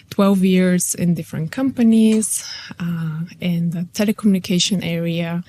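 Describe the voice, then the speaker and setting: A woman in her forties from Bulgaria with a Bulgarian accent, an intercultural coach who pauses to search for words in a podcast interview. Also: lowish voice, a little mellow.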